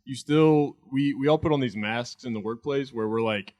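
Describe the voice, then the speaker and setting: A man who is a startup founder, a guest on a podcast, agreeable and quick with short affirmations. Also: mid-range voice, darkish tone, dry recording, clean studio sound. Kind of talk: casual podcast chat.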